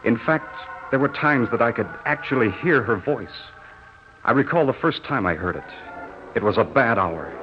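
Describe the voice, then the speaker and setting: A man's voice: mid-range voice, expressive, normal speed, natural voice. An actor playing an earnest, lovelorn young farm-raised soldier, telling his story in a folksy, solemn way in 1940s radio drama style. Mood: intense, haunted. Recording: muffled old radio recording with music. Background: dramatic music.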